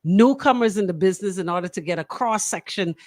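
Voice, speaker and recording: A woman's voice: mid-range voice, quick pace, a little mellow, no room sound. Bahamian woman hosting radio talk, dry close sound. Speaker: radio host. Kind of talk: casual radio talk show chat.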